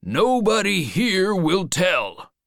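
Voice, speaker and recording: A reader voicing a wary but kindly old man in a storybook, calling out loudly and gruffly. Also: medium pitch, big pitch swings, slow, dry recording, clean studio sound, pitched above the performer's own voice, heavily acted. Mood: reassuring, insistent. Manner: loud, calling out.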